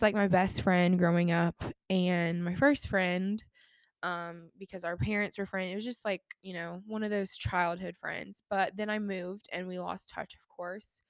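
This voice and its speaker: A woman's voice: fairly low pitch, no echo. A young adult woman with an American accent, a podcast host talking casually in an informal, rambling stream of consciousness peppered with "like". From United States. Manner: chatty, informal, rambling with frequent "like".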